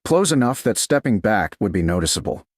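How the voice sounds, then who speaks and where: A man narrates a romance story in a lowish, husky voice. His phrasing is measured and dramatic, with short phrases and a very lively, moving pitch. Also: no echo, clean studio sound. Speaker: audiobook narrator.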